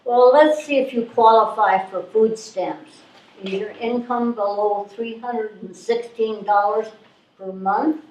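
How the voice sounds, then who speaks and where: Distant, fairly high woman as a female clerk, officious, politely obtuse, measured, readers theatre. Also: a little mellow, muffled recording, a little hiss or hum, close to an everyday voice. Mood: bureaucratic. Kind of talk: live readers theatre reading. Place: live stage reading in a room. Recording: echoey room, distant microphone.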